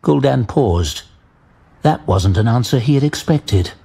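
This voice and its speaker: A man giving dramatic scripted narration of a fantasy tale in a measured, grave storytelling manner. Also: medium pitch, lively intonation, gravelly, mellow, husky. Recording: music behind the voice.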